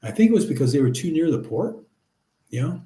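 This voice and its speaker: Middle-aged man, casual live-chat host, mellow dark voice, relaxed, echoey room. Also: on the high side, fairly quick. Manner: relaxed, chatty, reads viewer comments aloud and replies. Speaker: livestream host answering viewer chat.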